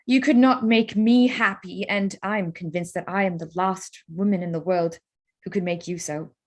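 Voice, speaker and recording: An actress playing a spirited young gentlewoman in a staged reading of a period drama, polite, formal and firm. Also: medium pitch, steady tone, normal speed, lightly acted. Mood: firm, exasperated. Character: spirited, frank, self-possessed young gentlewoman.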